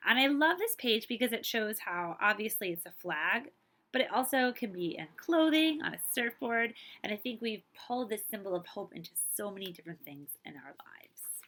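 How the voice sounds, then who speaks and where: A woman librarian reading a picture book aloud to children, with expressive, emphatic phrasing and casual asides. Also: medium pitch.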